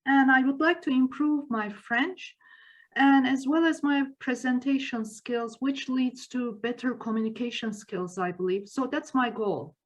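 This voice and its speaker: A woman's voice: high-pitched, unhurried. A woman from the Greater Toronto Area and a returning club member, introducing herself hesitantly, with fillers and restarts. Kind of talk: self-introduction at a club meeting. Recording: dull, lo-fi video call audio.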